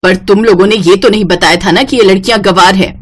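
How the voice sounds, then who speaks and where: A woman's voice: mid-range voice, fairly even intonation, fast talker, breathy edge, lightly acted. A woman voicing a snobbish, hot-tempered wealthy mother-in-law in a Hindi moral story, haughty and scolding. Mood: scornful. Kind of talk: Hindi moral story narration with voiced characters.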